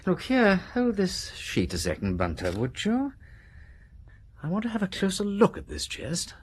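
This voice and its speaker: An aristocratic English amateur detective in a radio play. His upper-class voice leaps about in pitch with a faintly rough edge. He is affable and inquisitive, jaunty and a little hesitant, full of old-fashioned slang. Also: huge pitch swings, moderate pace, heavily acted. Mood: curious, inquisitive. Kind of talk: British radio drama acting.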